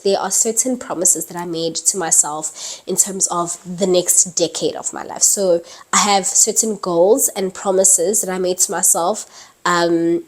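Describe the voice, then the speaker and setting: A woman's voice: medium pitch, darkish tone. Chatty young woman vlogging. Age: early 20s. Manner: chatty, many fillers like "um" and "like", laughs lightly. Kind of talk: casual talking-head vlog. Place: indoors at night, dim lighting.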